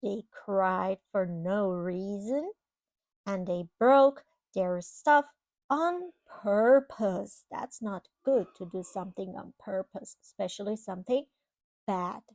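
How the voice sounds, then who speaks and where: A woman reading a children's picture book aloud, expressively, pausing to explain things to young listeners. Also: mid-range voice, a little mellow, no room sound. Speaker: children's storybook reader. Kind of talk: read-aloud storytelling to young children with simple asides.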